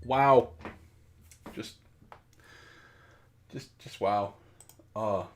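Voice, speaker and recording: A man's voice: on the low side, drawn-out words. A man hosting a casual comedic book club podcast, bantering in a mock-exasperated way.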